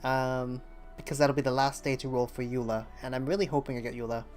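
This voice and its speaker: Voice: medium pitch, fairly even intonation, fairly quick, a little mellow, soft background music. A game streamer chatting casually on a livestream, hesitant and rambling while thinking aloud. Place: indoors at a streaming setup.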